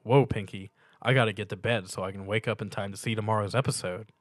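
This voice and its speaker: A man's voice: on the low side, steady tone, fast, no echo, clean studio sound, close to an everyday voice. A man voicing an awkward, geeky young male cartoon pony with a hesitant, stammering manner in a story reading. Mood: cheerful. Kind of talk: dramatic fan fiction reading.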